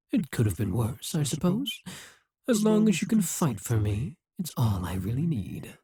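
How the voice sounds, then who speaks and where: A man voicing a possessive, scheming demon king in an audio roleplay, speaking slowly and condescendingly. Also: mid-range voice, dark tone, slightly rough, no room sound, clean studio sound, heavily acted. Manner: condescending, slow and musing.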